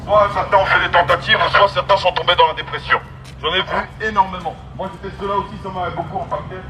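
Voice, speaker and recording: High-pitched male street preacher on a megaphone. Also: steady tone, fast. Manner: exhorting, emphatic, repetitive phrasing. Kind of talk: street sermon, open-air evangelism. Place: outdoors on an urban street. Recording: amplified megaphone, loud outdoor street noise.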